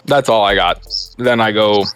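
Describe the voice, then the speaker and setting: A man's voice: lowish voice, normal speed, a bit bright, clear, resonant tone. A man playing a tabletop role-playing game on a headset, improvising dialogue in a conversational, matter-of-fact way. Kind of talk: casual in-character improvised dialogue. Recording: music playing behind the voice.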